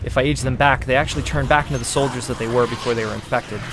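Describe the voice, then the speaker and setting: A man giving gameplay walkthrough commentary as a producer presenting a demo, in an explanatory, measured manner. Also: mid-range voice, fairly even intonation. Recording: clear game sound noise behind.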